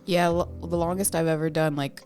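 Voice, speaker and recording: Deadpan woman comic, lowish voice, casual podcast guest. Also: brisk, soft background music. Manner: deadpan, laughs between lines. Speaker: comedian guest.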